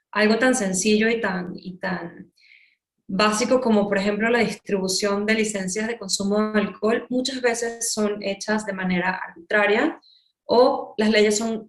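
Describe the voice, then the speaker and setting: A woman with a Venezuelan accent speaks as an interview guest, explaining things in an articulate way. Her pitch sits in the middle range for a woman. The recording is roomy, with clear echo around the voice. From Caracas, Venezuela. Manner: articulate, explanatory, builds points with examples. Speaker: interviewee, urban nightlife consultant. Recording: roomy, echoing room sound.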